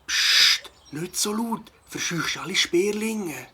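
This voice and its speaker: A man's voice: high-pitched, lively intonation, slow pace, bright, forceful tone, breathy edge, heavily acted. A man playing a friendly, earnest ornithologist in a children's audio play, explaining patiently with a Swiss accent. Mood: hushing.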